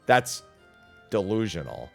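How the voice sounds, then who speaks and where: A man's voice: low voice, big pitch swings, takes it slowly, vocal fry, faint music behind. A man giving casual, off-the-cuff commentary while streaming a video game. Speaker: streamer playing through a story game.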